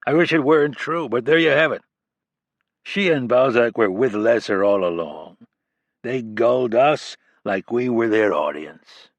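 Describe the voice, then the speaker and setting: A man's voice: medium pitch, animated, measured pace, dry recording. A male narrator voicing a bitter, commanding male criminalist, dry and cutting.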